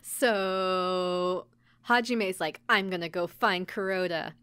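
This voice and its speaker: A woman co-hosting a podcast, casually retelling a story in an animated way with mock dialogue and giggles. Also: on the low side, slow, clear, resonant tone, no room sound. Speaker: podcast host and episode recapper. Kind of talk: casual chat, recapping an anime episode.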